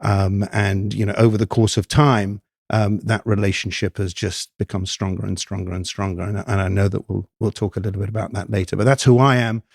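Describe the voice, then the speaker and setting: An older man from the United Kingdom with an English accent, a podcast guest talking in a thoughtful, measured way with many ums and restarts. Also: lowish voice, level tone, creaky, dry recording, clean studio sound. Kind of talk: podcast interview.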